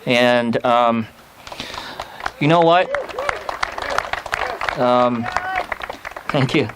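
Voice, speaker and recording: A man from the Philippines, an army veteran, giving a personal speech at a rally in a halting way with many pauses and fillers. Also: medium pitch, a crowd in the background, background noise.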